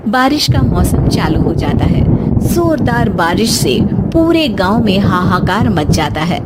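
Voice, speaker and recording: A woman's voice: medium pitch, noisy background. A woman storyteller reading a scripted moral tale as narration.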